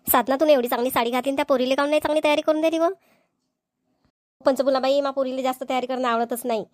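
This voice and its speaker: A woman's voice: sits high, steady tone, fast talker, dry recording, studio quality, close to an everyday voice. A woman voicing a gossipy, impatient village housewife in a cartoon comedy, with a Vidarbha Marathi accent. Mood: gossipy, nosy.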